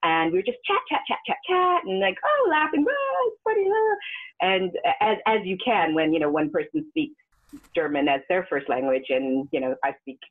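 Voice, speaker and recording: A woman from Western Canada with a husky, breathy voice that sits low but swings widely as she talks. She laughs often and leaps into high squeals when quoting people. The sound is narrow and phone-like. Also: normal speed. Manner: animated, laughs often, jumps into high pitch for quoted voices. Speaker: guest performer. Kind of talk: casual interview storytelling.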